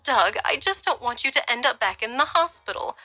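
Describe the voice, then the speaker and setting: A worried middle-aged wife, voiced by a woman reader, gentle and pleading, lifting higher when she frets. Her tone is very bright and sharp. Faint music sits behind her. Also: lively intonation, a little fast, heavily acted.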